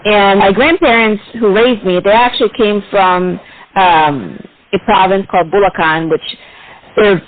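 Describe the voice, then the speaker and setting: A woman from the Philippines, a native Tagalog speaker, chatting casually as an interview guest in an informal, halting way. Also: mid-range voice, no echo. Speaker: interview guest, ring announcer. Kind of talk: casual conversational storytelling. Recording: dry, tinny phone-like line.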